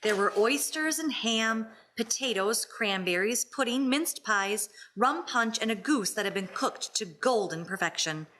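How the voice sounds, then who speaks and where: A woman acting as an expressive storyteller, narrating a radio play dramatically. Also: on the high side, a little slow, few pauses, slight background noise. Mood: vivid, relishing.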